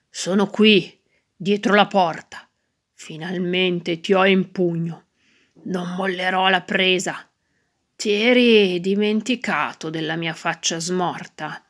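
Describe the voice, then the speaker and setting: Storybook barrow-wight, played by a woman: menacing ghost, gloating, slow delivery edged with whispering. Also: lowish voice, creaky, fairly bright tone, exaggerated voice.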